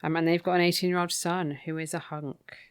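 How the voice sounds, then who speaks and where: A woman's voice: fairly low pitch, level tone, brisk, clean tone, dry recording. A woman co-hosting a podcast, chatting casually through a recap with wry, opinionated asides. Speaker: podcast co-host. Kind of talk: casual book recap chat.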